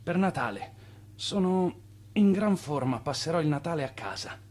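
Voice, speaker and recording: Vecchio truffatore malato dalla voce velata. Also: male voice, on the high side, animated, moderate pace, darkish tone. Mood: wistful. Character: ailing, stubborn old Italian swindler, weary but proud. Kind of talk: radio drama acting.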